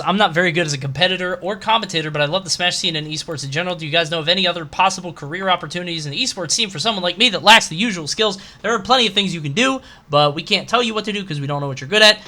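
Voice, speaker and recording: A man's voice: sits high, bright, forceful tone. A man co-hosting a podcast, reading out questions and bantering casually in a blunt, sarcastic, sweary way.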